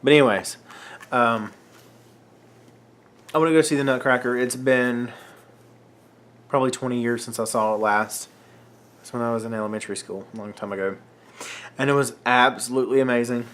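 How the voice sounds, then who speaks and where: A man's voice: mid-range voice, fairly even intonation, moderate pace, long pauses, fairly bright tone. A young man from North Carolina chatting in an unedited home vlog, wandering off topic with lots of fillers while fighting a cold. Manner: rambling, filler-heavy, self-interrupting. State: getting a cold, clearing throat. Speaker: vlogger. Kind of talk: casual unedited vlog chat. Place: room at home. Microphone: camera mic, facing camera under ring light.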